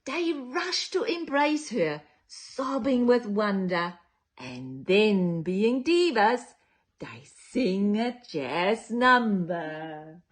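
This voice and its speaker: A woman reading a children's picture book aloud in a playful, theatrical way, with dramatic whispers and drawn-out words. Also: medium pitch, sing-song. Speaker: children's storytime reader.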